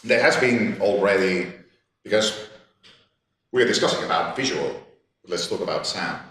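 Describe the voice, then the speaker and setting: A low-voiced man talks on a panel, often stumbling and repeating words while he thinks. He sits at a distance from the mic in a strongly echoing room with faint background noise. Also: expressive, a bit bright. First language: Catalan. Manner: halting, many restarts and repeated words. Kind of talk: panel discussion.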